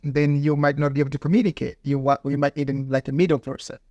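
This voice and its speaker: A man with a fairly high voice talks earnestly as a guest, halting now and then to find his words. His is Ndau. The recording is dry and close, with no room sound. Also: little pitch movement, warm tone, no echo, highs cut off. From Mozambique. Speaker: guest, farmer and peace builder. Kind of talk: casual interview talk.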